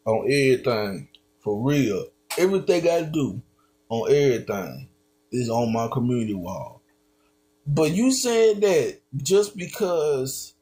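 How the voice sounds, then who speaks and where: A man's voice: medium pitch, a little mellow. A man streaming, reacting to a video with slangy, incredulous casual commentary.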